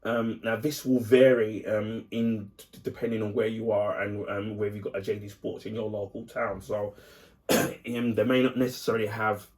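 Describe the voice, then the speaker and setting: A man, husky and croaky from the flu, reviewing a clothing purchase in a casual vlog, with frequent ums and throat clearing. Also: fairly low pitch, flat delivery, moderate pace, darkish tone. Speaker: YouTuber.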